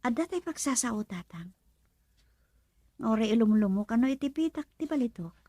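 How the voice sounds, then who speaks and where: An actress playing a young woman who works at a club, asking her father lively questions. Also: a little high, normal speed, dry recording. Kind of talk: radio drama acting.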